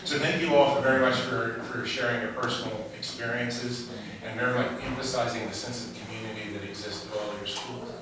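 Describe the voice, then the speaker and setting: A man's voice: medium pitch, fairly even intonation, very noisy. A man hosting a policy roundtable, summing up and introducing the next panel with frequent restarts. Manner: halting, restarts often. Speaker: event host. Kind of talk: event hosting remarks. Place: large event hall. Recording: echoey hall mic, far off.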